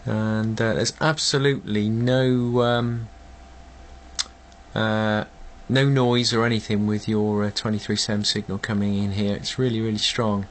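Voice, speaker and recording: A man chatting casually as an amateur radio operator, demonstrating a contact in a hesitant, measured way. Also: lowish voice, little pitch movement, slight background noise.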